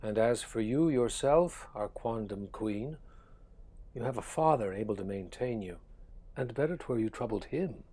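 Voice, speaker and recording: An actor playing a proud, blunt earl and envoy in a Shakespearean drama, declaiming formal verse. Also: male voice, fairly low pitch, animated, moderate pace, warm tone, breathy edge. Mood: scornful. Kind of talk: Shakespearean stage-style audio drama acting.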